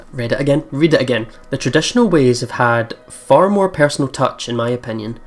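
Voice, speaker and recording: A let's play host casually commenting and reading game text aloud, informal and prone to swearing. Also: medium pitch. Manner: chatty, informal, swears freely.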